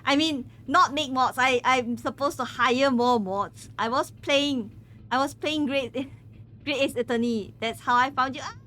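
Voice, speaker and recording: Woman streamer, bright higher voice, giggly and stumbling, casual chat. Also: unhurried, a little hiss or hum. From Malaysia. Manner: giggly, stumbles and repeats words. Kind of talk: casual chat with viewers during a game stream.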